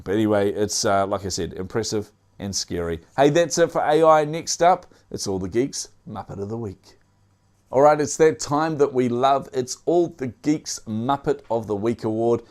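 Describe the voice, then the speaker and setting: A man's voice: lowish voice, a little mellow. A man with a New Zealand accent hosting a casual tech news show, chatty, with frequent fillers and dad jokes.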